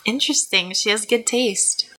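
An actress playing a breezy but guarded young American younger sister in a modern audio drama, speaking in casual, clipped phrases. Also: female voice, on the high side, moderate pace, pushed and bright, rough recording. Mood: guarded, uneasy.

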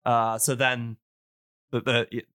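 Bright, clear-voiced man chatting casually. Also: mid-range voice, little pitch movement, takes it slowly, no room sound, studio quality. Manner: rambling, hesitant, self-correcting. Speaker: podcast host. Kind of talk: casual chat reviewing old radio shows.

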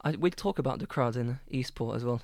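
A man's voice: mid-range voice, fairly quick, a little mellow, dry recording, studio quality. Hesitant male podcast host. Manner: hesitant, many ums and restarts. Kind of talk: casual chat.

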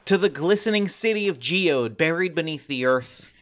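A performer playing a grand storyteller, narrating a fantasy audio drama in a formal, measured way. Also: mid-range voice, expressive, a bit bright, natural voice.

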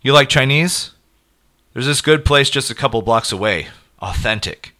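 A man's voice: medium pitch, expressive, normal speed, sharp tone, voice pushed up. A man voicing a pushy, self-assured male character from a short story, with breezy, presumptuous small talk. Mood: pushy, casual.

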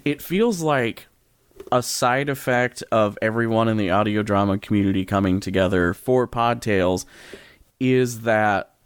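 A man's voice: on the low side, unhurried, clear, resonant tone, no room sound, studio quality. An American man hosting a casual podcast chat in a wry, joking way. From US Midwest. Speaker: podcast host.

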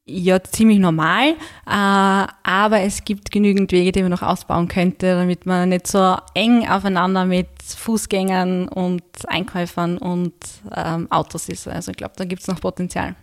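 A woman's voice: lowish voice, flat delivery, moderate pace, few pauses. A woman, a guest speaking in an easygoing, slightly hesitant way. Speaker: guest, business co-founder. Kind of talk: casual interview talk.

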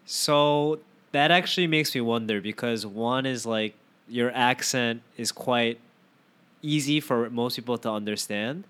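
Teasing man with a smooth voice. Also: mid-range voice, a little slow. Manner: teasing, animated questions. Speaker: podcast host. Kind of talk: casual interview chat.

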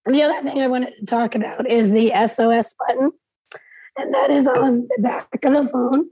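Hoarse woman teaching over a video call. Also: high voice, animated, normal speed, dark tone, no room sound, poor audio. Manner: clears throat often, explains each step aloud. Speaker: instructor. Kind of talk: step-by-step class demonstration.

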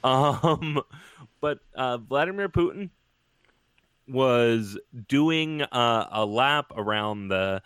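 A man co-hosting a sports podcast, telling jokes in a wry, deadpan way. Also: mid-range voice, drawn-out words, smooth, no room sound. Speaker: podcast co-host. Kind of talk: casual comedic sports chat.

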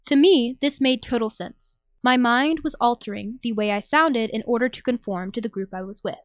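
A woman's voice: on the high side, moderate pace, no room sound. Young American woman narrating, close dry sound. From Nashville, Tennessee, USA. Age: young adult. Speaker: narrator, journalism student. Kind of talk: scripted personal audio essay narration.

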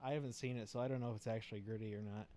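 A man's voice: lowish voice, steady tone, very fast. Easygoing young man, faint background noise. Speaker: podcast co-host. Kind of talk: casual chat.

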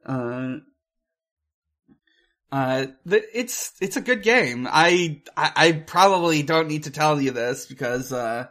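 A man chats casually as a podcast co-host in a bright, slightly high voice. His delivery is slow and halting, with frequent hesitations and fillers as he feels his way through each thought. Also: lively intonation. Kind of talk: casual chat about films and video games.

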